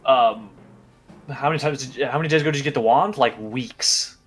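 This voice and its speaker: A man's voice: medium pitch, fairly quick, soft background music. A man casually running a tabletop roleplaying game, explaining rules in a precise, matter-of-fact way. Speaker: game master.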